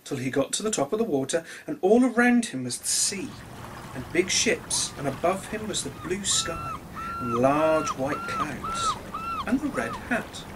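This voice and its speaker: Expressive, highly animated male storyteller. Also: unhurried, noisy background. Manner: expressive, simple address to children. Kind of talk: children's storybook reading.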